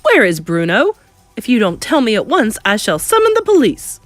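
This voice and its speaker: Woman acting a blustering, shouting English father. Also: on the high side, wildly swooping pitch, normal speed, dry recording, soft background music, pitched above the performer's own voice. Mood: furious. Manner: spluttering, shouting, indignant. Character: blustering outraged English father, pompous middle-aged man.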